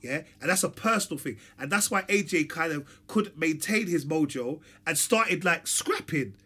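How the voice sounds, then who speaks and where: A young man in casual, animated chat, slangy and talking over his friend. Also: on the high side, moderate pace, slightly rough. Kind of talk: casual chat about boxing.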